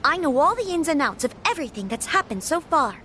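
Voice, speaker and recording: An actress playing a gentle young noblewoman in an anime fantasy game, troubled yet firm, with soft, earnest, formal phrasing. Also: high voice, sing-song, quick pace, slight background noise. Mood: firm, reproachful.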